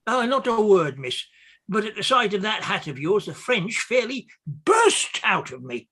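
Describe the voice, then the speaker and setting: An excitable, husky clerk. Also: male voice, high-pitched, a little slow, full character voice. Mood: fawning, apologetic. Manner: melodramatic, exclamatory, obsequious toward betters. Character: indignant, fawning male clerk, servile and excitable. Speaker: actor in a comic play. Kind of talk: theatrical radio comedy acting.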